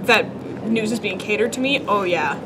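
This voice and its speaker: A woman's voice: mid-range voice, lively intonation, moderate pace, background noise. A nineteen-year-old woman answering interview questions in a chatty way with filler words. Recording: loud crowd behind.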